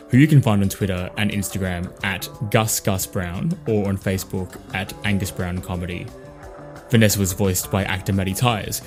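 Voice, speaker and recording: Casting: Australian male podcast host for scripted credits, lower register, light music underneath. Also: a little mellow. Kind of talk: scripted outro announcement.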